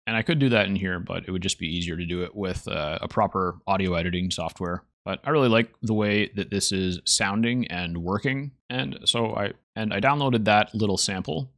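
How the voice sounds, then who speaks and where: A man hosting a casual software tutorial, thinking aloud as he demonstrates. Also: on the low side, no echo, clean studio sound. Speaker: tutorial host.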